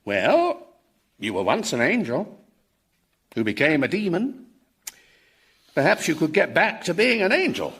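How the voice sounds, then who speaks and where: A gravelly, earnest professor. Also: male voice, a little high, huge pitch swings, a little slow, long pauses, a bit bright, exaggerated voice. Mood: earnest, persuasive. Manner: earnest, probing. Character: earnest, analytical, moralising male professor in hell. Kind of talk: radio sitcom acting.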